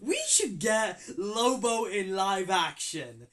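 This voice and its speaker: A young man hosting a casual podcast chat, excitable and swearing, often stumbling over his words. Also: high-pitched, slow, over a noisy crowd. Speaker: podcast host.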